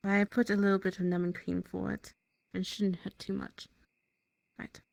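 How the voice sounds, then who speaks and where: Female roleplayer as town medic: medium pitch, matter-of-fact, slightly hesitant, dry close sound. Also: flat delivery, moderate pace, gravelly, a little mellow, no echo. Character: town medic tending a wounded hunter. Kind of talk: improvised roleplay dialogue.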